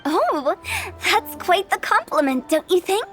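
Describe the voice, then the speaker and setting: A mischievous young funeral parlor director, teasing and theatrical. Her voice is very high and sing-song, with faint game music underneath. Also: very animated, measured pace, a bit bright, full character voice. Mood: playful. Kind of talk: game cutscene voice acting.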